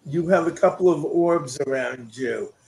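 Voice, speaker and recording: Soft-spoken man talking slowly and low. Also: low-quality recording. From New York and Connecticut. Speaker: psychic medium. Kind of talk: live online chat and readings.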